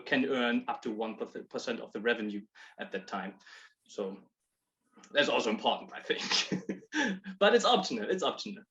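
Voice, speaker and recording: A man presenting his project on a video call, talking in halting bursts with frequent restarts and laughs between points. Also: medium pitch, a bit bright. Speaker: project proposer. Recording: dull lo-fi call audio.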